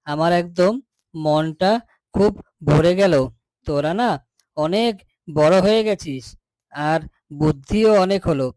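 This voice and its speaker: A man's voice: a little high, a little mellow, no room sound, natural voice, poor audio. A man playing a protective, devoted woodcutter father in a cartoon fairy tale, addressing his children in a declamatory way.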